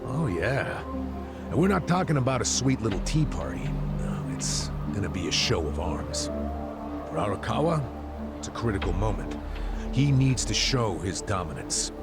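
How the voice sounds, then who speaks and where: A sly, jaded former detective who needles his younger partner, his voice low and his phrasing swinging up and down with mocking emphasis. Loud game music plays under the cutscene. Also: very animated, normal speed. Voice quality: wry, teasing. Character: sly cynical middle-aged male ex-detective. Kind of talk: video game cutscene dub.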